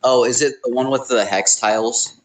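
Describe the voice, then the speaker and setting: A man's voice: medium pitch, fairly even intonation, normal speed, poor audio. A young man co-hosting a podcast, explaining things in a matter-of-fact, conversational way. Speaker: podcast co-host. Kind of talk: casual chat, explaining.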